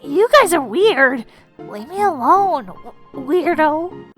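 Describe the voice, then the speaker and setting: Girl doing grumpy lil flower kid, defensive, high voice, slowish, music behind. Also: animated, fairly bright tone, exaggerated voice. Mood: defensive, sulky. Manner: huffy, dismissive. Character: sulky, defensive young talking flower at school. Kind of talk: character voice in fan comic dub.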